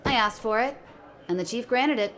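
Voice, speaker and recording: A woman's voice: on the high side, normal speed, a bit bright, close to an everyday voice. A woman playing a cool, confident field agent in a scripted audio drama. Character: cool, self-assured female field agent.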